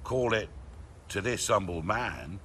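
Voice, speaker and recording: Old male villager, British accent, slow, animated pitch, wry, sly, folksy, video game. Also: slight background noise. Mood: sly, storytelling. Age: 70s.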